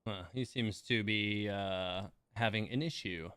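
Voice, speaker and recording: A man's voice: lowish voice, a little slow, pushed and bright, slight background noise. A man giving casual livestream game commentary, rambling and muttering to himself as he plays.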